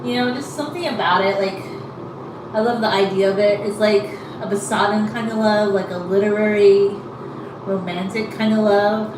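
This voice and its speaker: A woman's voice: medium pitch, fairly even intonation, normal speed, reverberant, distant mic, noisy background. A woman chatting casually in a rambling way with many fillers while she combs out her hair. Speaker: vlogger.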